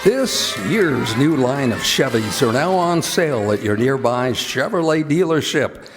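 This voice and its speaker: A man's voice: medium pitch, expressive, measured pace. An American man reading scripted announcements and sponsor messages in the style of an old-time radio announcer, live in a theater. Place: theater stage in a college. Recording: live theater hall, long reverb, music.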